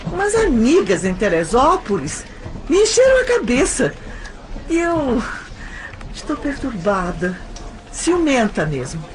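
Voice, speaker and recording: High-voiced, anxious young Brazilian lover acted by a woman. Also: wildly swooping pitch, slight background noise, poor audio. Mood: troubled, jealous. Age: young adult. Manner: hesitant, halting, emotional. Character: anxious, jealous young woman faking cheer to her lover. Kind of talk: audio drama acting.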